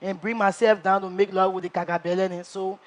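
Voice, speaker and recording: A woman playing a brash reality-show contestant who tells her story in an animated way and acts out what others said. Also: medium pitch, a little fast, close to an everyday voice.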